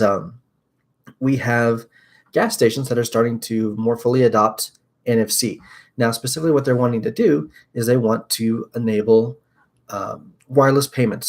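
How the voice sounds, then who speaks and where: A young man talks loosely through a tech news roundup from his desk, upbeat and rambling, with plenty of "um" and "uh" as he scrolls along. His voice sits at an ordinary middle pitch. It sounds like a small room with a webcam picking him up. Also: a little mellow, slightly rough. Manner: rambling, upbeat, frequent fillers. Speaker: host. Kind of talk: casual tech news livestream.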